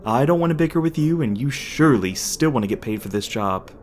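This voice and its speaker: A man's voice: mid-range voice, expressive, brisk, darkish tone, close to an everyday voice. Officious villainous agent for audio roleplay, male performer: lilting, condescending, wordy, audible background noise. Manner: pompous, wordy, mock-polite. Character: smug, officious male cult agent with false courtesy. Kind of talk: ASMR audio roleplay acting.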